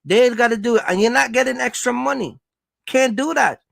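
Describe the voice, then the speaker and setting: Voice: medium pitch, brisk, creaky, a bit bright, no echo, studio quality. A speaker gives casual motivational advice as a host, talking emphatically, repeating points and checking in with listeners. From Jamaica. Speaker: host, trucking business coach.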